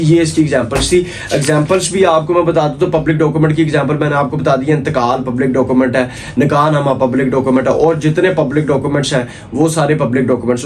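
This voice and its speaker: A man's voice: mid-range voice, a little mellow, a little hiss or hum. A man with a Pakistani accent giving an informal, explanatory lecture, repeating points and checking in as he teaches. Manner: didactic, repetitive, checks in with "okay". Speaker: law lecturer. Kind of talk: informal explanatory lecture mixing Urdu and English.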